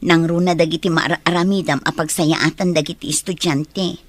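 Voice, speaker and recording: A woman's voice: fairly low pitch, fairly even intonation, moderate pace, no room sound, lightly acted. A woman playing a friendly, loyal and chatty female teacher in an audio drama. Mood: concerned. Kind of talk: Ilocano audio drama acting.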